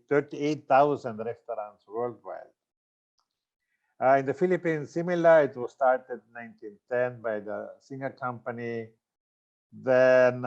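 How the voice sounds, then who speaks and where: A man's voice: medium pitch, darkish tone, lo-fi sound. Older Austrian man giving a seminar. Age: 60s. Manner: loose, halting delivery with many fillers and self-corrections. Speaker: franchise consultant giving a seminar. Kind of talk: presentation seminar talk.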